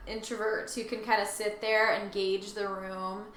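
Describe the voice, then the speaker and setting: A woman's voice: mid-range voice, little pitch movement, unhurried, a bit bright. A woman co-hosting a casual, conversational discussion of a story. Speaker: co-host. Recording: echoey room with faint ambient noise.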